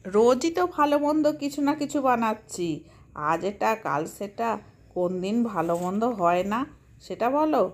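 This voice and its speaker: A woman's voice: fairly high pitch, expressive, normal speed. A woman narrating and voicing a children's doll-play story in a simple, homely way. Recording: faint background noise.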